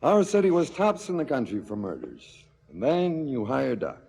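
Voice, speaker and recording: A man's voice: mid-range voice, huge pitch swings, normal speed, vocal fry, darkish tone, slightly rough, exaggerated voice. An actor playing a calculating corrupt policeman in a stylised radio drama, declamatory and coolly menacing, with clipped retorts. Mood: coolly accusing. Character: smug, calculating corrupt policeman muscling in on racket.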